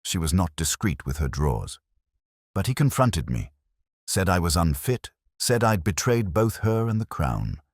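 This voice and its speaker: A man voicing a weary, guilt-ridden elderly English magistrate in a story, confessing in halting, formal phrases. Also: low voice, sing-song, no echo, studio quality, voice pushed up, exaggerated voice. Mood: bitter, subdued.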